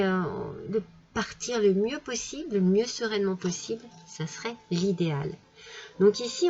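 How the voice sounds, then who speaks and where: A French-accented woman reads tarot cards with a cold, in a gentle, soothing tone, stopping often on fillers and restarts. Her voice sits at an ordinary middle pitch. The sound is a bit dull and lo-fi. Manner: hesitant, many fillers and restarts. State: has a cold. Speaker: tarot card reader. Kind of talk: casual tarot reading monologue.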